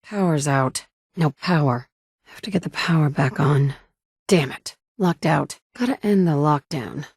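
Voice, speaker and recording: A hardened wasteland survivor woman speaks in short, firm lines with a lowish voice. The sound is close and dry, with no room around it. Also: a little slow, darkish tone, slightly rough, no room sound, heavily acted. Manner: terse and firm, often curt. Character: tough adult woman wasteland survivor, blunt leader. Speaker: player character. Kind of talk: video game dialogue lines. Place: studio booth.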